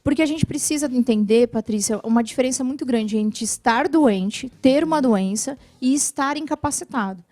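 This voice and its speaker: A Brazilian woman answering viewers' questions about benefits in a TV interview, explaining patiently and clearly, often adding "né". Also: high-pitched, normal speed, few pauses, dark tone, no room sound. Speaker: social security lawyer guest. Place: TV studio.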